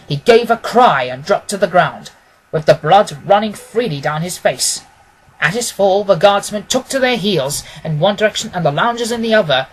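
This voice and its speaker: A man narrating a classic story as a scripted audiobook, in a measured, literary storytelling manner. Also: high-pitched, breathy edge, poor audio.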